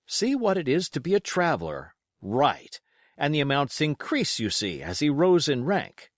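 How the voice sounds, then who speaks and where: A man voicing a brisk, sensible gentleman doctor in an audiobook, assured and conversational. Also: on the high side, huge pitch swings, no room sound, studio quality, pitched above the performer's own voice. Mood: approving. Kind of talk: audiobook character voice.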